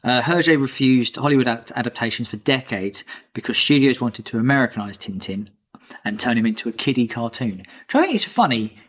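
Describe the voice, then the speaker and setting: A man's voice: medium pitch, lively intonation, a bit bright. Joking male podcast host. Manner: jokey, teasing, brisk. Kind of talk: casual chat, reading trivia.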